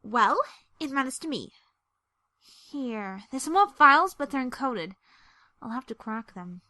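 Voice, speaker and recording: A woman's voice: sits high, lively intonation, measured pace, bright, forceful tone, dry recording, full character voice. A woman playing a headstrong, curious young mare in an audio drama, theatrical and indignant. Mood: sulky, frustrated.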